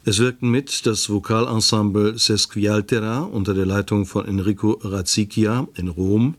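A middle-aged man reading the closing credits of a radio broadcast in a measured, formal way. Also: lowish voice. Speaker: radio announcer. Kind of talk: scripted broadcast announcement.

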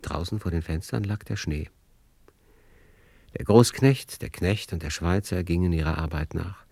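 A man's voice: low voice, moderate pace, gravelly, mellow, no echo. A man narrating a radio play in a scripted, literary storytelling style.

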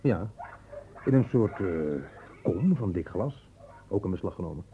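A man's voice: on the low side, lively intonation, unhurried. An actor playing an easygoing, wryly joking male police inspector in a Dutch radio play. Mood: casual, musing. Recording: faintly noisy old radio recording.

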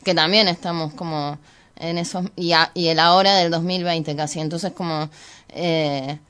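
A woman's voice: low voice, steady tone, moderate pace, a bit bright, clean tone. A woman with an Argentine Spanish accent interviewing in a casual discussion, rambling tentatively and checking for agreement. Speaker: radio show interviewer.

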